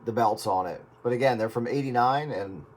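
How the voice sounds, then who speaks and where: A man's voice: medium pitch, a little fast, a little hiss or hum. A man chatting casually on the radio in a folksy, plain-spoken way. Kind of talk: casual chat over radio.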